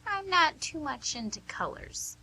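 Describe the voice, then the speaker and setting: A woman's voice: sits high, big pitch swings, measured pace, pushed and bright, full character voice, poor audio. A woman playing a blunt, teasing blind date in radio theatre, putting on an odd, curt voice. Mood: curt, guarded. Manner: putting on a weird voice, curt, dry. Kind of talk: amateur radio theatre acting.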